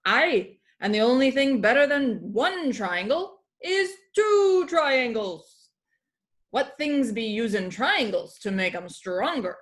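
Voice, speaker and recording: Woman reading as a hearty pirate sea captain, storybook creature, slow declamatory pirate talk, high swooping pitch. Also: sing-song, fairly bright tone, exaggerated voice.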